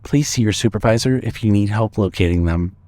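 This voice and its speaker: A man narrating a scripted story with measured, suspenseful storytelling. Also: on the low side, mellow.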